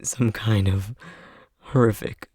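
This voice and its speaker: A man acting an easily flustered, anxious young boyfriend in an ASMR roleplay, stammering nervously in a breathy, husky voice. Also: lowish voice, fairly even intonation, slow pace, darkish tone, no echo, clean studio sound. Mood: panicked.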